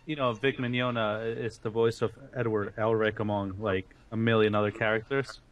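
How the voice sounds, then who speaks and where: A man's voice: fairly low pitch, little pitch movement, moderate pace, dull audio. Chatty man hosting a casual podcast. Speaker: podcast host.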